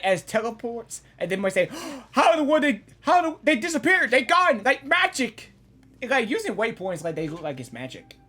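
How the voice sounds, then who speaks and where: A woman giving casual, excited reaction commentary on a game, exclaiming and repeating phrases. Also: a little high. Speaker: gaming reaction video host.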